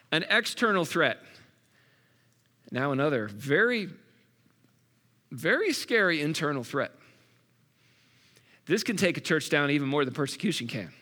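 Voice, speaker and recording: A man's voice: on the high side, sharp tone. A man preaching a sermon in a loose, conversational way, with casual asides to the congregation. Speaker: pastor. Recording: long reverb of a large hall.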